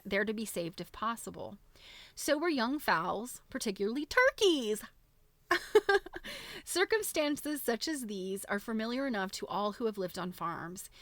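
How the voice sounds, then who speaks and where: A woman hosting a live book reading, reading aloud with expressive, giggly, chatty asides. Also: fairly high pitch, no echo. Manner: giggly, reacts expressively to what is read.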